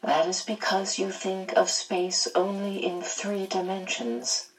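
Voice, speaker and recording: A woman voicing a kindly, patient otherworldly female guide who explains gently, recorded at a distance. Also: medium pitch, takes it slowly, lightly acted. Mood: gentle.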